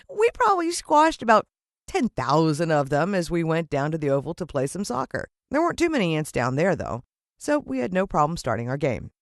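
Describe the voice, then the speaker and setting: American female storyteller for children's audiobook: highly varied pitch, dramatic emphasis, close dry sound. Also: no echo. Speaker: audiobook narrator. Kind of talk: expressive children's story narration.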